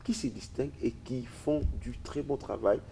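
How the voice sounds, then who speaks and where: A man's voice: medium pitch, normal speed, vocal fry, mellow, a little hiss or hum, poor audio. A man hosting a television program, introducing a segment formally with a few fillers. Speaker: TV show host. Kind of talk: studio introduction.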